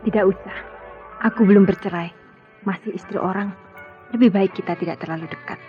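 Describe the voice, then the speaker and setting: A woman's voice: medium pitch, a little fast, close to an everyday voice. A woman acting a married doctor in an old-style radio drama, guarded and curt as she deflects. The sound is dull and lo-fi, with music playing behind her.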